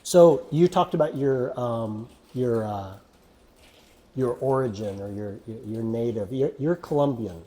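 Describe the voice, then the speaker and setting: A man's voice: mid-range voice, unhurried, warm tone, slight background noise. A man hosting a casual faith interview, chatty and affirming, with plenty of fillers. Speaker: podcast host.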